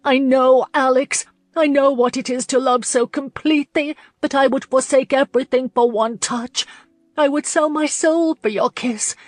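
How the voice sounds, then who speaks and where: A woman's voice: fairly high pitch, fairly even intonation, a little fast, no echo, natural voice. A woman narrator voicing a heartbroken, defiant young woman in a period romance, speaking in impassioned, tearful outbursts. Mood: passionate. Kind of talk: audiobook character voice.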